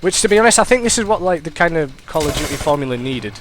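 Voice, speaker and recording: A man's voice: on the high side, fast, a little hiss or hum. A young man, congested and sniffly, commentating casually over his own gameplay, rambling and correcting himself as he goes. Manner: rambling, self-correcting, excitable reactions. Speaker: gaming commentator.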